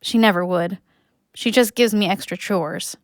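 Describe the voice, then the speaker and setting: A woman's voice: medium pitch, unhurried, no echo, studio quality, natural voice. A woman playing an earnest, wistful young adult in an audio drama. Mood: confiding. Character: young Canadian, wistful, nature-loving, awkward and earnest. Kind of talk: audio drama narration and acting.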